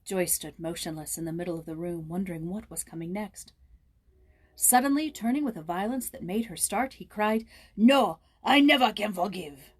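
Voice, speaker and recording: Husky woman reading a story aloud. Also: lowish voice, fairly quick. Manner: dramatic, expressive. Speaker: story reader, voice actor. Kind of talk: expressive storybook narration.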